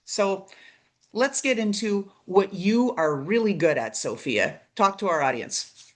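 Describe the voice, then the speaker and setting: Woman, podcast host, medium pitch, upbeat, encouraging, conversational delivery. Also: animated, unhurried.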